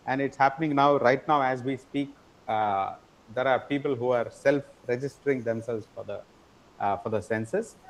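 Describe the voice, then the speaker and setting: A man teaching an online class, explaining step by step, often pausing with "uh" and asking "right?" to check in with his students. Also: mid-range voice, poor audio. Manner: explanatory, frequent tag question "right?"; repeats words and hesitates with "uh". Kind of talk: online lecture.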